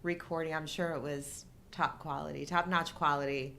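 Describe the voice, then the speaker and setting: Middle-aged woman with a lowish voice. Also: steady tone, normal speed, gravelly, fairly bright tone, a little hiss or hum. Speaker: co-host of a live show. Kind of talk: casual chat about films.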